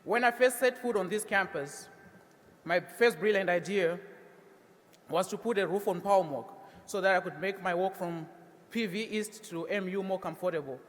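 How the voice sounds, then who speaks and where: High-voiced young Zimbabwean man in a reverberant hall. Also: moderate pace. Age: young adult. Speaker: student commencement speaker. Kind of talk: prepared graduation speech. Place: large ceremony hall, at a podium.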